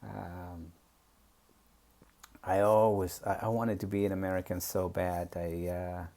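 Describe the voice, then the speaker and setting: A man's voice: low-pitched, level tone, a little slow, gravelly, mellow. A man from Peru with a Spanish accent, telling his life story in a hesitant, reflective way with many fillers. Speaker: memoir storyteller. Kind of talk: personal reminiscence.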